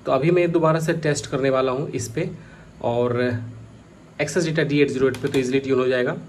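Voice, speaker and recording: A man's voice: mid-range voice, steady tone, brisk, a little mellow. Man narrating over faint noise. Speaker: radio hobbyist vlogger. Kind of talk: casual product test narration.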